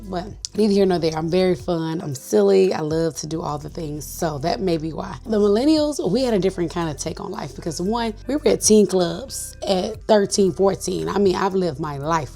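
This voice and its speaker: Brief: woman, late thirties, bubbly vlogger, lowish voice with vocal fry, a little congested. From Nashville, Tennessee. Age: 30s. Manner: chatty, bubbly, animated storytelling. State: slight sinus congestion. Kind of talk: casual get-to-know-me vlog.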